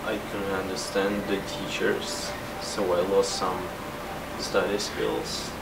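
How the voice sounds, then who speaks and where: A young man from Russia speaks in a slow, subdued way about his struggles as an immigrant student. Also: deep voice, flat delivery, vocal fry, heavy background noise. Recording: echoey, distant, loud music.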